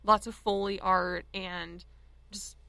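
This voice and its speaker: A woman talks casually as a podcast panelist, with plenty of vocal fry in a mid-pitched voice. She sounds close and dry, with no room around her. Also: little pitch movement, slow, pushed and bright, no room sound. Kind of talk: casual chat.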